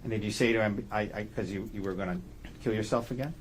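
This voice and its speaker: A man's voice: lowish voice, poor audio. A man works through courtroom questioning as an attorney. He feels his way into leading questions and ends them with brief requests to confirm. The courtroom recording carries faint noise. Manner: halting, leading questions with short confirming tags. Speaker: defense attorney questioning a witness.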